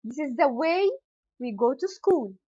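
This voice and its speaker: Slow, lively-pitched woman teacher. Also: dull audio. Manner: slow, careful explaining with example questions and answers. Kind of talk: English grammar lesson.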